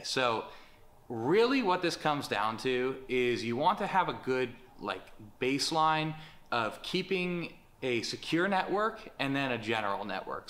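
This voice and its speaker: A man's voice: medium pitch, fairly bright tone. A young man casually walking viewers through a setup in a large bare warehouse workshop, talking with many fillers and moving about as he shows things. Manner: casual, filler-heavy, gestures while explaining. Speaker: company presenter. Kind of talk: casual product walkthrough.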